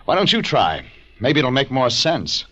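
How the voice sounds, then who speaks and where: A man playing a tough, wry private detective in a 1940s radio drama, clipped and deadpan in both narration and dialogue. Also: mid-range voice, a little slow, heavily acted. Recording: dull vintage radio, organ music behind.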